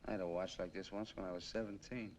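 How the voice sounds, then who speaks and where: An actor playing a bitter, menacing armed killer in 1950s film noir style, with an American accent and tough, slangy talk. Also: male voice, sits low, fairly even intonation, fast, darkish tone. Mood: bitter, boastful. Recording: noisy old film soundtrack.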